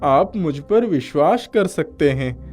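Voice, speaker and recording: A man's voice: a little high, lively intonation, slow pace, darkish tone, soft background music. A man voicing a humble, troubled village woodworker in a moral story, pleading and deferential in manner. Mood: earnest pleading. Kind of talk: acted dialogue in a moral story.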